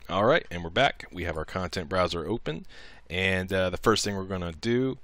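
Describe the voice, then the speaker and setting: A man giving a casual, improvised software tutorial, talking through each click as he goes. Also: low voice, animated, moderate pace, no echo. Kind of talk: casual step-by-step screen tutorial.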